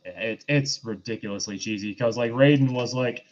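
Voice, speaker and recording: A man with a mid-pitched voice gives a casual, sardonic recap as a show co-host. The audio is a little dull and lo-fi. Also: moderate pace. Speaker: podcast co-host recapping films. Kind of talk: casual plot recap and commentary.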